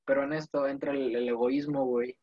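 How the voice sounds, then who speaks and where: A young man with a Mexican accent chatting casually in slangy, digressive, animated storytelling. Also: mid-range voice, a little fast, no room sound, lo-fi sound. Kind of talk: casual chat.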